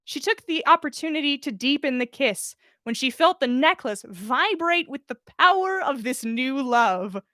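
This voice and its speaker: Bright female voice, fairly high, dry close sound, expressive casual fan fiction host. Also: dry recording. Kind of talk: expressive story reading and casual livestream chat.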